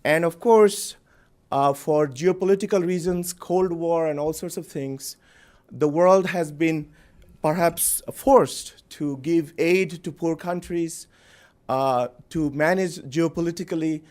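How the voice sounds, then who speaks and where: Middle-aged guy from bangladesh at a podium mic, kinda high voice, lots of ums. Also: a little mellow. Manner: unscripted, halting with fillers, joking. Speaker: panelist, center director. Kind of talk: conference panel talk. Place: lecture hall.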